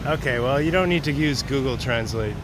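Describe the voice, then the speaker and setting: A man's voice: medium pitch, brisk. A Canadian man vlogging casually while walking a street, rambling and chatty with many fillers. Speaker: travel vlogger. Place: busy street outdoors. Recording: noisy street recording. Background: loud traffic.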